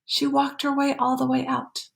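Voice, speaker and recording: A woman reading a children's picture book aloud in an expressive, animated way, pausing for emphasis and talking to young listeners. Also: high-pitched, creaky. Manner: animated, explanatory, pausing for emphasis and dropping to hushed phrases. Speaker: storytime reader.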